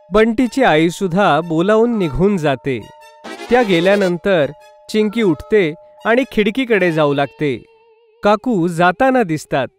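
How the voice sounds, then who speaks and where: A man reading scripted narration for a children's cartoon story. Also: high voice.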